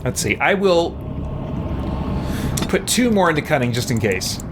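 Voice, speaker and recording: A man's voice: on the high side, big pitch swings, measured pace. A man hosting a game livestream, chatting casually and hesitantly, thinking aloud as he goes. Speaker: game streamer and host. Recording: clearly noisy background.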